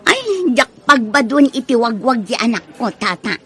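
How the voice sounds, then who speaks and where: A woman with an Ilocano accent acting a haughty, sharp-tongued married daughter in a comedic audio drama. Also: on the high side, moderate pace, husky. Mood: snobbish. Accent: Filipino, Ilocano.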